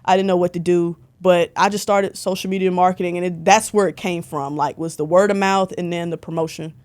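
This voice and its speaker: A woman's voice: lowish voice, a little fast. A young woman in casual podcast conversation, telling stories with lots of "like" and playful bursts of energy. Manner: chatty, animated storytelling with lots of "like". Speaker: podcast guest, concert photographer. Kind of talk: casual interview chat.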